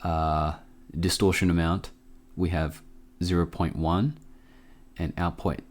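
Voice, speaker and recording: Man, deep-pitched voice, informal tutorial host, hesitant step-by-step explanation, casual delivery. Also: level tone, slow pace. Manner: informal, hesitant, thinking aloud.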